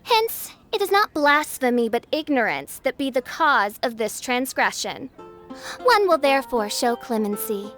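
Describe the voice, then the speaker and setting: A woman's voice: sits high, sing-song, a little slow, a bit bright, exaggerated voice. A woman voicing a grandiose young self-styled princess in a video game, speaking in archaic, dramatic phrases. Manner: archaic, pompous, dramatic speech. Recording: game audio with faint music.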